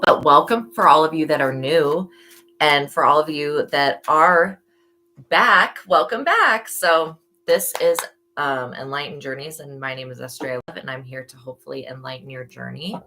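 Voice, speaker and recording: A hoarse woman giving a live-stream tarot reading, with earnest, motherly reminders and a preachy tone about faith. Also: deep voice, normal speed, pushed and bright. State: hoarse from yelling. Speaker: tarot reader.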